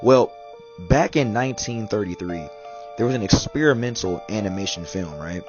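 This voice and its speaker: A man with a deep voice hosts in a casual, halting way. The recording is dull and lo-fi, with clear music behind him. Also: lively intonation. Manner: halting, repeats "you know". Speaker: video series host. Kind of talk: casual spoken commentary. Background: background music.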